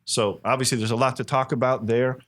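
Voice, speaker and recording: A man's voice: mid-range voice, fairly even intonation, brisk, a bit bright. An American man in his fifties speaking earnestly and emphatically as an interview guest, telling stories in a conversational way. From Miami, United States. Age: 50s. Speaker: interview guest, cigar company president.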